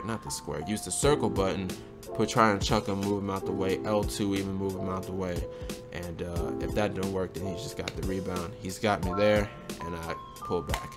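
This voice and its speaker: A man with a slightly hoarse voice from a cold, giving a relaxed, conversational game tutorial as a channel host. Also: low voice. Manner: relaxed, conversational, often asks "right?". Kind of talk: casual game tutorial commentary. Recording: music playing under the voice.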